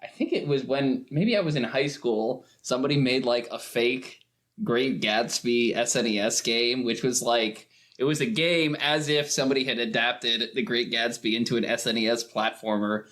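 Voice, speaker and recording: A man's voice: mid-range voice, normal speed, fairly bright tone. Male podcast guest. Conversational explainer, slightly hesitant, drifts into tangents. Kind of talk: casual discussion.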